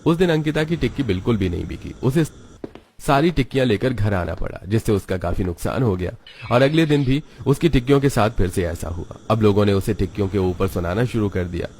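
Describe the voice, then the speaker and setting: A man's voice: lowish voice, animated, brisk, a little mellow, slight background noise. A man narrating a children's cartoon story from a script.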